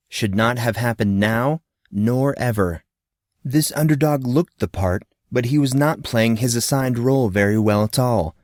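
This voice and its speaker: A man's voice: mid-range voice, darkish tone, dry recording, clean studio sound. A man reading a fantasy novel aloud as narrator, in a friendly storytelling manner. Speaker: audiobook narrator.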